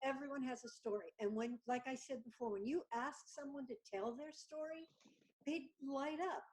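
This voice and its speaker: Animated woman guest on a video call. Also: high voice, normal speed, low-quality recording. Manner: animated storyteller, acts out dialogue. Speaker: guest artist. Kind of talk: casual video chat.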